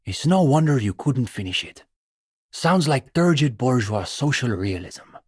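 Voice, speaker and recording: Earnest, pretentious student radical, husky voice. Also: male voice, mid-range voice, very animated, measured pace, dry recording, studio quality. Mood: scornful. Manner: bookish, opinionated, academic phrasing. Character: earnest, pretentious young male communist student intellectual. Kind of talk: video game character dialogue.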